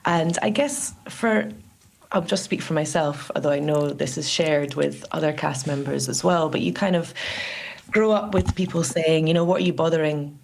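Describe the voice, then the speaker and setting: A woman, an actress guest in a radio interview, speaking in a thoughtful, measured way. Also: deep voice, gravelly, a little mellow. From Scotland.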